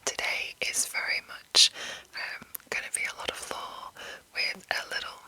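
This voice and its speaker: Whispering woman, crisp and breathy ASMR. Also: moderate pace, pushed and bright, poor audio. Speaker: ASMR host explaining a roleplaying game. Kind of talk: whispered ASMR explanation, rambling and unscripted.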